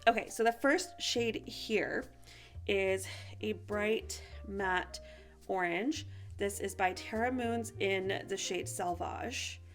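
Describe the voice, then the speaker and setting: A woman's voice: medium pitch, takes it slowly, soft background music. A woman chatting casually and informally to viewers as a beauty vlogger reviewing products, sounding a little sick and sniffly.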